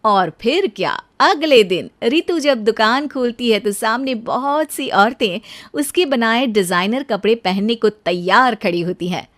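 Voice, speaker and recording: High-voiced woman narrating a cartoon story. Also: lively intonation, unhurried, a bit bright.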